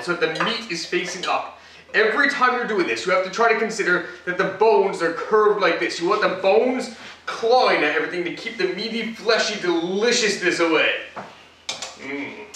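A man with a high, bright voice walks viewers through a recipe in a chatty, instructive way, tossing in little jokes. He is recorded by a camera mic across a home kitchen, so the sound is very echoey and distant. A faint hum of noise sits underneath. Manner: chatty, instructive, with joking asides. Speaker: cooking show host. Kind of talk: casual cooking demonstration. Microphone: camera mic from across the room.

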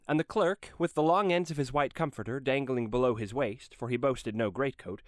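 A male storyteller reads a classic tale dramatically. His voice is fairly high and moves widely up and down, recorded dry and close. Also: dry recording.

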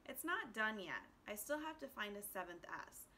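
A woman's voice: medium pitch, big pitch swings, moderate pace, sharp tone, slight background noise. A woman voicing an eager, inquisitive little boy in a children's storybook. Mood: earnest. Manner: inquisitive, earnest.

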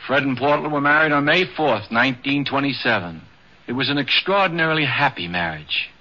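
Male documentary narrator, mid-pitched, scripted fifties radio delivery, muffled vintage recording. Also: animated, a little fast. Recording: dull, band-limited 1950s radio recording.

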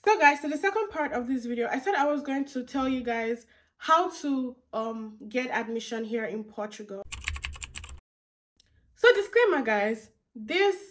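A woman's voice: high-pitched, animated, unhurried, fairly bright tone. Chatty young woman vlogging to camera. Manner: chatty, repeats phrases, talks to viewers as "guys". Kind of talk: casual advice vlog to camera. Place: indoor room with curtains behind.

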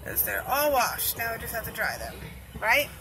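A woman's voice: a little high, very animated, moderate pace, music in the background. A young woman in her twenties, vlogging casually, talking straight to the camera in a chatty, rambling way. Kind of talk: casual family vlog. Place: home bedroom and parked car at night. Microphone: phone camera at arm's length. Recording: noisy phone recording.

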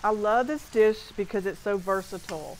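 A chatty middle-aged woman cooks on a TV studio kitchen set, walking through her recipe step by step. Her voice sits at an everyday pitch, picked up on a lapel mic with faint background noise. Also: normal speed, low-quality recording. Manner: chatty, laughs easily. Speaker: guest chef. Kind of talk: cooking demonstration.

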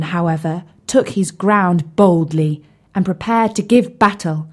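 Female storyteller for fairy tale audiobook. Medium pitch, slow and deliberate, expressive scripted narration. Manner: expressive, measured storytelling delivery.

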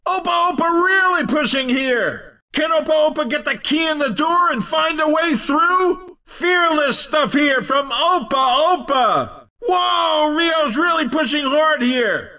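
An actor playing an excitable video game race commentator, calling the action in a punchy, emphatic, hyped style. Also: male voice, very high-pitched, slow, raised voice, distant mic, heavily acted. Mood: excited, hyped. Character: excitable, fast-reacting male video game race announcer.

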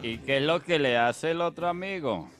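A man's voice: on the high side, normal speed, natural voice. A man playing a sweet-talking, penny-pinching boyfriend in a radio comedy sketch, with a folksy Colombian accent. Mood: exasperated. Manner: folksy, wheedling, calls her mija. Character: cheapskate, sweet-talking working-class boyfriend in a comedy couple. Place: radio studio. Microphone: close studio broadcast mic. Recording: loud music behind.

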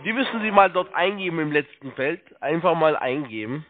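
A man working as a call-centre agent, giving halting step-by-step instructions, repeating himself and spelling things out. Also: a little high, expressive. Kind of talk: step-by-step phone sales instructions. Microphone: telephone. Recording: tinny narrow phone line.

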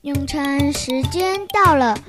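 A woman's voice: high-pitched, takes it slowly. A very young female narrator, reading a scripted children's story. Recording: loud music behind. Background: music.

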